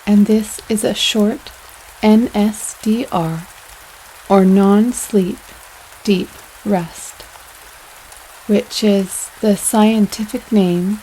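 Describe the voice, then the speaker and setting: A woman guides a meditation at a very slow pace, leaving space between her phrases. Her tone is mellow and relaxed, with a soothing delivery. Steady rain sounds run behind her voice. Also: mid-range voice, a little hiss or hum, rough recording. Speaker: yoga nidra guide.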